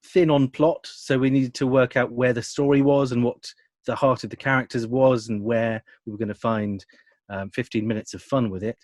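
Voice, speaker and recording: A man's voice: medium pitch, darkish tone, no echo, clean studio sound. A British man speaks in a mid-range voice with very little room sound. He explains his work as an interviewed producer in a conversational way, with a dryly humorous edge. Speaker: radio drama adapter and producer being interviewed.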